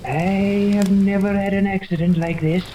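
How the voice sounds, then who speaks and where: An actor playing a haughty, sinister old scientist mastermind in 1930s radio serial style, pompous and formal. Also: male voice, high voice, steady tone, normal speed, warm tone, close to an everyday voice. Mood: brisk, commanding. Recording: noisy vintage 1930s radio recording.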